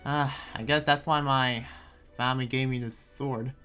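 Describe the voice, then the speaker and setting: A man playing an earnest, preachy but friendly town leader in an anime roleplay, speaking in a halting, informal way. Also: mid-range voice, fairly even intonation, pushed and bright, clean tone, natural voice. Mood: advising. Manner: halting, rambling, informal advice-giving. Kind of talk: improvised Minecraft anime roleplay. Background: music under the voice.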